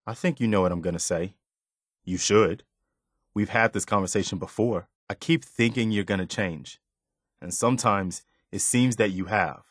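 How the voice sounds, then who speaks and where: An actor voicing an earnest, principled, loyal but weary militia officer in a video game, speaking in a sincere, measured, plain-spoken way. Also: male voice, fairly low pitch, no echo, studio quality, natural voice. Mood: stern, fed up. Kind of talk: video game character dialogue.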